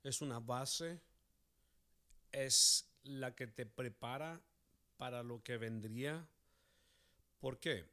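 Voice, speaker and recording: A middle-aged man with a Chilean accent, a pastor reflecting conversationally as a guest, deliberate and posing rhetorical questions. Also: mid-range voice, bright, forceful tone.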